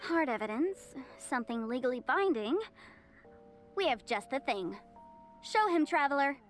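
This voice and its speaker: High-voiced, confident young lawyer. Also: female voice, very animated, normal speed. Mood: sly, confident. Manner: articulate, formal, coolly assertive. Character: confident, shrewd young female legal adviser. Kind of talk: video game voice acting. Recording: music behind the voice.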